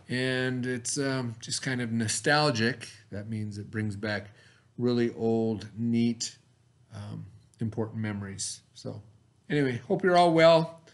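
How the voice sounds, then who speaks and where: A man speaking as a teacher to children, expressive and encouraging, with dramatic emphasis and pauses. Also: medium pitch. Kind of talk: expressive read-aloud for children.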